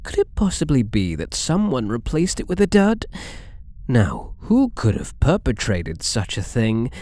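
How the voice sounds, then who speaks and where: Guy doing a british catboy thief for asmr roleplay, possessive n teasing, slow soft-toned mellow voice, super close dry sound. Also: medium pitch, huge pitch swings, no echo. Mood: coy, mocking. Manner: coy, playful, mock-innocent purring taunts.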